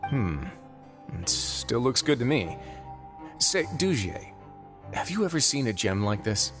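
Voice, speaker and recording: A man voicing a composed, authoritative prison overseer in an anime game, speaking in a measured, deliberate way. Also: medium pitch, sing-song, over music. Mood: guarded.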